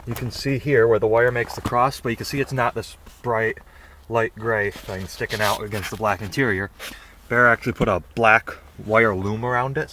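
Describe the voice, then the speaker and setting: A young man giving a relaxed, rambling how-to walkthrough while filming outdoors in the snow and inside his car on a handheld camera. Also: on the low side, slight background noise. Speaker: vlogger.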